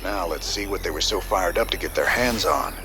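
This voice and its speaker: An actor with a Southern American accent playing a gruff, wry, laconic outlaw rebel commander in a video game. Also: male voice, deep voice, brisk, over music, close to an everyday voice. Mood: gruff, teasing. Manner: laconic, drawling, folksy.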